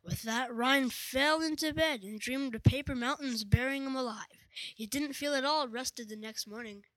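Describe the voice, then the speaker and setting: Female narrator, fairly high voice, scripted school readers' theatre storytelling, dry close sound. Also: normal speed, fairly bright tone, no echo, rough recording.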